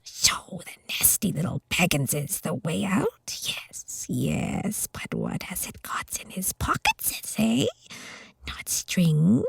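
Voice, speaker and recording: A woman's voice: on the low side, gravelly, slightly rough, dry recording, heavily acted. A woman voicing a wretched, greedy male cave creature who hisses and whispers in a wheedling way, in an ASMR storybook reading. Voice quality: hissing, whispery. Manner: wheedling, talks to his precious.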